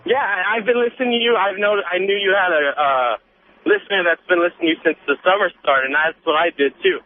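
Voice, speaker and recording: A young American man of nineteen rambles casually as a radio caller, cocky and emphatic. His fairly high voice comes through a thin phone line with light noise. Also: fast talker. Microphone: telephone.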